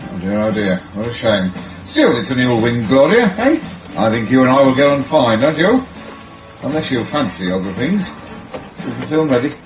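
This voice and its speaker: An actor playing a sleazy middle-aged businessman in a radio drama, condescending and threatening, quick to bluster. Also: medium pitch, big pitch swings, soft background music, rough recording. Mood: oily, menacing.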